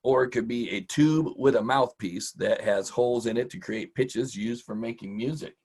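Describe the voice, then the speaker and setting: A man's voice: medium pitch, moderate pace, darkish tone, slightly rough. A middle-aged man hosting an informal, encouraging vocabulary lesson for children in a folksy, casual way. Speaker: host, teacher.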